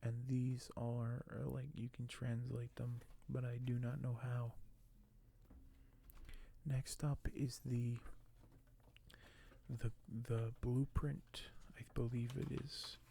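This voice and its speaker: A man speaking softly and gently for an ASMR video, sometimes close to a whisper, with a halting delivery as host. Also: medium pitch, little pitch movement, vocal fry, slight background noise. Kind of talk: soft-spoken ASMR, partly whispered; reading aloud and commenting on collectibles.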